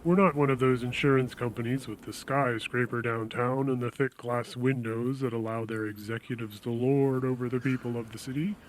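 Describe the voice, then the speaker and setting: Radio drama salesman, played by a man: medium-pitched male, courteous and persuasive, quietly reassuring about grim business, some noise behind. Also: steady tone, close to an everyday voice, low-quality recording. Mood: polite, businesslike. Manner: measured, persuasive, politely solicitous. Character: smooth-talking, reassuring male death insurance salesman. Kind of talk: audio drama dialogue.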